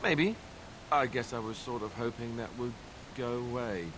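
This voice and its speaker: An actor playing a wry, self-deprecating young warrior companion in a video game, speaking casually. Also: male voice, mid-range voice, moderate pace, close to an everyday voice. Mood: wry, sheepish. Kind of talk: video game dialogue acting. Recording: hissy, noisy game audio.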